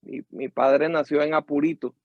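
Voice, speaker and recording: An older man with a Venezuelan accent, a musician and teacher telling his life story in an interview, measured and reflective. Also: a little high, fairly even intonation, dry recording, dull audio. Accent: Venezuelan Spanish.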